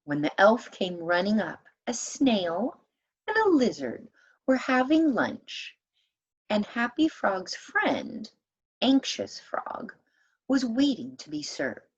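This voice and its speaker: Animated woman reading a storybook, lo-fi sound. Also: medium pitch, husky. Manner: animated, deliberate, dramatic emphasis for young listeners. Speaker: read-aloud storyteller. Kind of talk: expressive children's storybook reading. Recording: dull, lo-fi sound.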